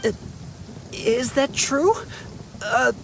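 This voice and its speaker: A man's voice: very high-pitched, expressive, drawn-out words, gravelly, noisy background, heavily acted. A man voicing a keen but unsure young trainee archer in a video game, hesitant and eager, with rain behind him. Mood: hesitant, hopeful.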